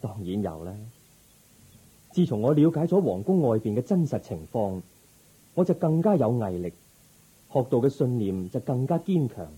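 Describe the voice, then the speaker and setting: A man playing a calm, resolute young prince turned monk in a radio drama, speaking in a measured, gentle, formal way. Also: mid-range voice, husky, poor audio. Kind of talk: Cantonese Buddhist radio drama acting.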